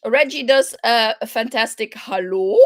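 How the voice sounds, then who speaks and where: Slow-talking higher-pitched woman, playful chat. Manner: unhurried, halting, searching for English words; playful, giggly. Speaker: craft live-stream host. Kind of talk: casual live-stream chat.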